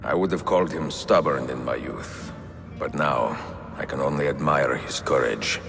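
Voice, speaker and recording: An actor playing a reflective, aging assassin in a video game, narrating gravely and with measured respect. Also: male voice, very deep voice, a bit bright, heavily acted. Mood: solemn admiration. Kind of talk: solemn dramatic narration. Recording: long hall reverb, faint music.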